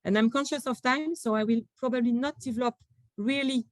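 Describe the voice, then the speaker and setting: A woman's voice: a little high, a little fast, dry recording. A woman from France with a French accent, speaking on a conference panel with many fillers and restarts.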